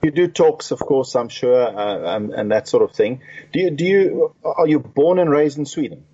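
Mellow-voiced male talk show host. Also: mid-range voice, moderate pace, slightly rough. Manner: relaxed, chatty, with frequent fillers. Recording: dull lo-fi sound.

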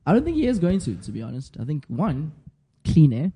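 Zulu-speaking man with a dark, worn voice. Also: fairly high pitch, fairly quick, breathy edge. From South Africa. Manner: laughs often, relaxed banter. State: strained voice from shouting. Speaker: podcast co-host. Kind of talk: casual chat. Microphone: studio mic.

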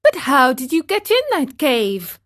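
A woman voicing a small, frightened wooden puppet boy in a storybook reading, with a high, pleading voice. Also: very animated, unhurried, fairly bright tone, full character voice. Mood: earnest.

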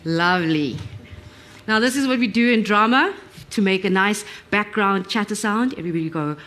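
A woman's voice: medium pitch, moderate pace, a bit bright. A woman, upbeat and encouraging as she hosts a live audience session. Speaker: presenter, audience warm-up leader.

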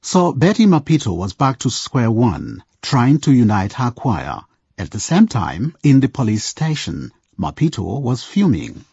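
A man's voice: mid-range voice, sing-song, a little slow, creaky, a little mellow, breathy edge, no echo. A man narrating a radio drama, on a dull lo-fi recording. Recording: dull lo-fi recording with faint music.

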